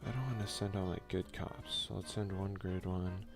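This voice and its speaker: A man's voice: low voice, flat delivery, normal speed. A man hosting a casual ASMR let's play, talking informally with muttered slangy asides and reading game text aloud. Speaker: gaming video host. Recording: music behind the voice.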